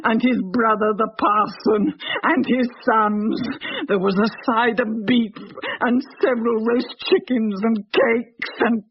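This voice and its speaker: A slow, grieving, starving widow. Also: female voice, a little high, slightly rough. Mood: bitter. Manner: slow, mournful, anguished delivery. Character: starving, grieving village widow and mother, bitter and despairing. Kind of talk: dramatic monologue in a horror radio play. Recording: dull lo-fi radio drama recording.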